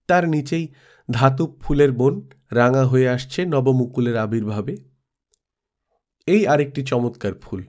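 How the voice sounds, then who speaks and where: A man's voice: mid-range voice, a little mellow. A man narrating a literary travel tale as a scripted audiobook reading. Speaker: storyteller reading a classic audiobook.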